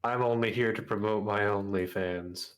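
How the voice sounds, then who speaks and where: A man's voice: fairly low pitch, fairly even intonation, normal speed. A man, a guest on a stream, chatting casually with dry, self-deprecating humor. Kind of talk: casual chat over voice call.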